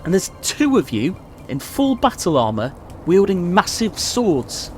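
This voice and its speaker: A man's voice: high voice, wildly swooping pitch, a little slow, a little hiss or hum, full character voice. A man playing a seasoned, commanding knight with a wry, authoritative manner in a radio play. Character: seasoned, dry, commanding male knight and mentor. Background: music.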